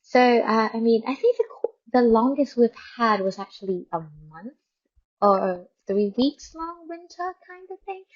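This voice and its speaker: A woman's voice: a little high, animated, measured pace, lo-fi sound. A woman from Thailand hosting a radio programme, chatting casually and informally in a rambling way, repeating words for emphasis. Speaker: radio host. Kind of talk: casual radio chat and news update.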